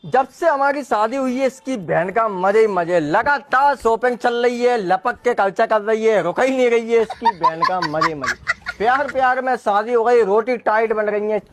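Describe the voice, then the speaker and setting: Man acting an exasperated, very high-voiced cartoon husband. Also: normal speed, a little hiss or hum. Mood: mock exasperation. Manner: repetitive catchphrase, griping. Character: exasperated cartoon husband griping about married life. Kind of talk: comic rhythmic rant.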